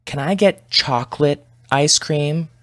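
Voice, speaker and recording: A man's voice: on the high side, slow pace, fairly bright tone, dry recording, pitched above the performer's own voice. A man voicing an eager little boy with a pleading, childish manner in an audio story. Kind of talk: storybook character voice.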